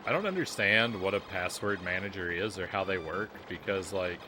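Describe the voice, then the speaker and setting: A man's voice: sits low, normal speed, background noise. A man from Kentucky streaming a retro game, reading chat aloud and chatting casually with plenty of ums and uhs. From Kentucky, USA.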